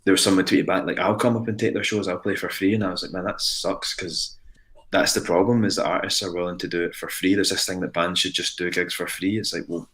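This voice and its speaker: Deep-voiced Scottish man, earnest musician. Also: fairly even intonation, fast, a bit bright. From Ayrshire, Scotland. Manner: earnest, rambling with frequent restarts. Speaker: musician guest. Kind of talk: casual interview chat.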